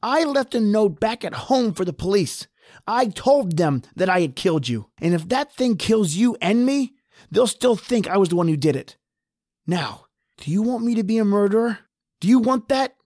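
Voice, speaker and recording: A man's voice: sits high, lively intonation, moderate pace, darkish tone, no echo, clean studio sound, pitched above the performer's own voice. A man playing a desperate, injured husband trapped underground in a horror radio drama. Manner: pleading, ragged, then defiant.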